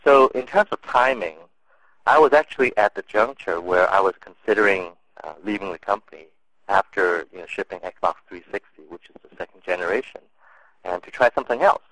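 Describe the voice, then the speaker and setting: A man's voice: sits low, brisk, fairly bright tone, no room sound, low-quality recording. A man answering interview questions in a casual, thoughtful way, with many fillers and restarts. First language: English and Cantonese. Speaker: interview guest.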